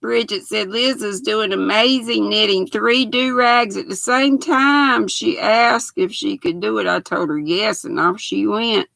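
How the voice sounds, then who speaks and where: An older woman with a raspy voice, chatting folksily with her livestream viewers while she sews. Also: mid-range voice, unhurried, few pauses, a bit bright, dry recording. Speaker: knitting livestream host. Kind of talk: casual live chat while sewing.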